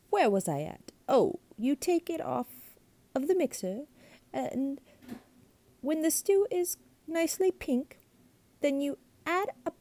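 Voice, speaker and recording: A woman's voice: very high-pitched, mellow, no room sound, pitched above the performer's own voice, exaggerated voice. A woman voicing a greenish male creature from a storybook, a pushy chatterbox who talks on and on in a bossy singsong.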